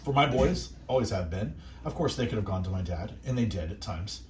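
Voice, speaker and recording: A man hosting radio, delivering reflective, encouraging monologues in a measured, earnest way with deliberate pauses. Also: lowish voice, lively intonation, a little mellow, roomy sound, recorded from a distance, slight background noise. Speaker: radio host and station manager.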